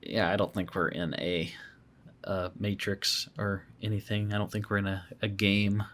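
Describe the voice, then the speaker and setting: A man's voice: fairly low pitch, flat delivery, normal speed, fairly bright tone. A man hosting a casual podcast, talking in a laid-back, noncommittal way. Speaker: podcast host and video creator.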